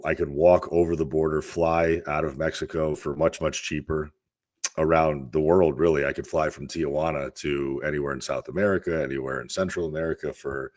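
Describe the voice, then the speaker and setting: A man in his thirties from Russia, a fitness coach telling candid, rambling stories as a podcast guest. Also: rumbling low. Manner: candid, rambling storyteller with restarts. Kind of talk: casual interview talk.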